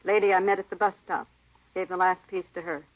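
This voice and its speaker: A woman's voice: on the low side, steady tone, a little fast, natural voice. An actress playing an aggrieved, defiant cleaning woman with plaintive, insistent, clipped folksy phrasing in a 1950s radio drama. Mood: calm, self-justifying. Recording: muffled vintage radio recording.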